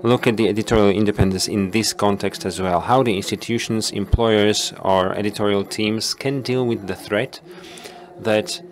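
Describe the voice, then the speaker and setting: A man with a fairly low voice moderates a panel discussion. He speaks in measured, halting phrases, restarting now and then as he sums up and moves to the next question. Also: fairly even intonation.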